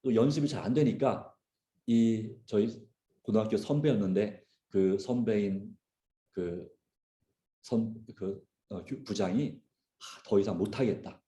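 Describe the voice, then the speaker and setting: A man's soft-edged, mellow voice carries through a reverberant room. He speaks as a pastor giving a sermon, earnestly and deliberately. Key phrases come back again for emphasis. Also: lowish voice. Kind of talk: sermon with personal illustration. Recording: roomy, echoing sound.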